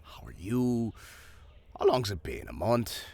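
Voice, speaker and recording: A man's voice: lowish voice, big pitch swings, measured pace, creaky, warm tone, husky, dry recording, full character voice. A man playing a sardonic town guard who teases with dry, mock-hesitant sarcasm in a fantasy audio drama. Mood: dry, teasing. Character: sardonic male town guard, playfully mocking old friend. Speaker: voice actor. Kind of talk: fantasy audio roleplay acting.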